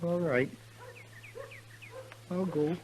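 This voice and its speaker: An actor playing a stubborn, flustered self-styled army general who stammers and halts, in 1950s western radio style. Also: male voice, fairly high pitch, background noise. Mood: resigned. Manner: stammering, halting, earnest. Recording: dull vintage radio recording.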